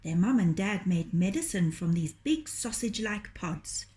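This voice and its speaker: A woman reading a children's story aloud in a gentle, deliberate, expressive storytelling manner. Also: fairly low pitch. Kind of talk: children's storytelling, scripted narration.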